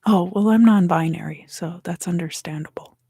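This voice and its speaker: A woman giving a soft-spoken, partly whispered ASMR tarot reading in a breathy, gentle, unhurried way, pausing between short phrases close to the microphone. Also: fairly low pitch, no echo. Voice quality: breathy, hushed. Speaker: tarot reader hosting an ASMR video.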